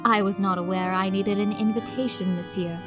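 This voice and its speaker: A woman playing a composed, formal mature pony madam in an audio drama, measured and politely chiding. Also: mid-range voice, fairly even intonation, loud music behind the voice, natural voice. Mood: poised, knowing.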